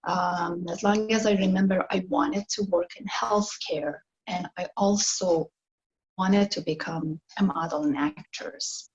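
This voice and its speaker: A woman from Iran, a nurse, being interviewed over a video call and answering slowly and thoughtfully, with pauses and fillers. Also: medium pitch, muffled recording.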